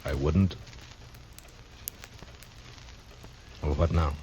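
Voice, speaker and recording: Deep-voiced, stern frontier lawman. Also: fairly even intonation, normal speed, dark tone, a little hiss or hum. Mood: wry, probing. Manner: terse, grave. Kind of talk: 1950s western radio drama acting. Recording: dull old radio recording.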